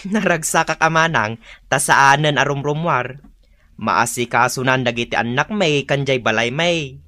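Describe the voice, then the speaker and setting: A man's voice: mid-range voice, moderate pace, sharp tone, no room sound, lightly acted. A man acting an exasperated, teasing village husband with an Ilocano accent in a comedy radio drama. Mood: amused.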